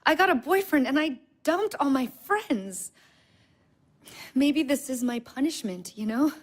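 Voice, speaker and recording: A woman playing an anxious, wounded young woman in a naturalistic audio drama, halting and confessional. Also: sits high, lively intonation. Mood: bitter, rueful. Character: anxious, wounded, intense young woman, self-loathing and lonely.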